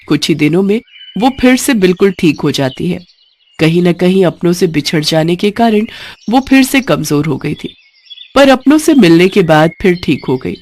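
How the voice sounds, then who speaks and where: A woman's voice: fairly low pitch, a little mellow. A woman narrating a scripted moral bedtime story for children as a storyteller.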